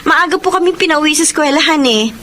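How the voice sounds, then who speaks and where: A dutiful Filipino schoolgirl in a TV family drama, with a high, earnest voice. The recording has clear background noise. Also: lively intonation, quick pace. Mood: anxious. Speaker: actress in a TV drama.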